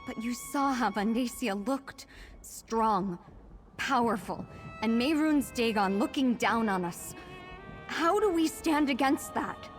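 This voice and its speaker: An uneasy young fantasy game heroine. Her voice sits on the high side and moves slowly and gravely, with music clearly audible behind it. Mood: fearful, defeated. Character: troubled young woman with dangerous magical powers. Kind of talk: dramatic fantasy game acting.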